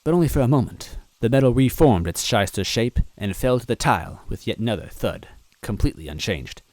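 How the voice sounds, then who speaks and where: A man reading a story aloud as narrator, expressive and adding little asides to the listener. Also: medium pitch, fairly quick, no echo. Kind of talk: reading fan fiction aloud.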